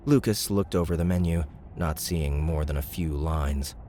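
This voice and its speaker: A man narrating an audiobook in a steady, even storytelling voice. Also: a bass voice, moderate pace, slight background noise.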